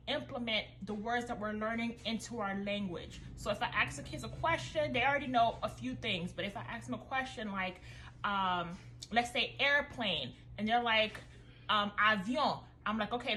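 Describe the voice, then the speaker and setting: Upbeat homeschool mom vlogger, raised in Haiti with French as her first language. Friendly, high and bright. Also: moderate pace, slight background noise, rough recording. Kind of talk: casual curriculum review vlog.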